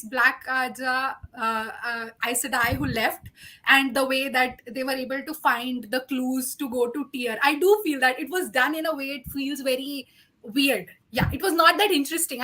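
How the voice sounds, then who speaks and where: A woman's voice: high voice, bright, forceful tone. A woman in a casual book discussion, arguing her points emphatically as a panelist and restating them.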